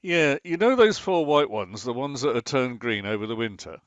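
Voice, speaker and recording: A man's voice: medium pitch, lively intonation, moderate pace, no room sound, dull audio, natural voice. A man acting a long-suffering, dryly sarcastic husband in a domestic radio comedy. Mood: sheepish. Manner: dry, exasperated sarcasm.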